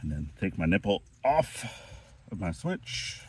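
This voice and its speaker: A man with a very low voice talks through a fix-it job step by step, relaxed and hands-on. He sounds stuffy and sniffly from a lingering chest cold, recorded outdoors while kneeling on rocks. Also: measured pace, husky, no echo. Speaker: homestead vlogger. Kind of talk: hands-on DIY how-to.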